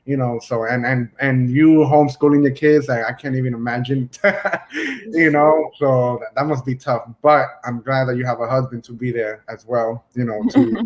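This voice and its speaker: A man's voice: mid-range voice, fairly even intonation, normal speed, low-quality recording. A man hosting a casual online interview, rambling with lots of fillers. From Dominican family, US. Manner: rambling, many fillers like "you know". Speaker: podcast host.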